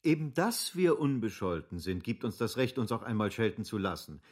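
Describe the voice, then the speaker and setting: A man's voice: lowish voice, sing-song, normal speed. An impulsive, obstinate nobleman, formally emphatic. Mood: defiant. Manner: formal, emphatic, rhetorical phrasing. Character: impulsive, obstinate wealthy nobleman pleading with his friend. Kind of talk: literary German radio drama acting.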